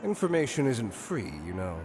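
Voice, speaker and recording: An actor playing a shrewd, wry tavern informant in a video game, talking in a dry, knowing way. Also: male voice, medium pitch, big pitch swings, moderate pace, noisy background. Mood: curt, dry.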